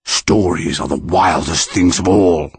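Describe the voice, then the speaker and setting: A man voicing an ancient, towering tree monster that speaks in a slow, booming, declaiming way with a gravelly edge. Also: no room sound, full character voice. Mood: solemn.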